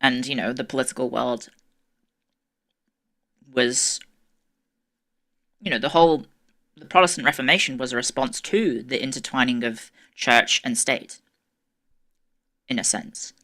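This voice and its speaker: A woman's voice: very deep voice, measured pace, long pauses, sharp tone. A woman giving a casual livestream history lecture, chatty and self-deprecating with many ums, her voice strained and tired. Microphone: desk microphone, peaking at times.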